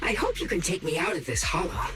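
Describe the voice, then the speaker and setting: An actor playing a small damaged robot companion, composed and quietly proud, speaking formally in a video game. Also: mid-range voice, sing-song. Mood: courteous, composed. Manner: formal, polite, measured. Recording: echoing voice over game music.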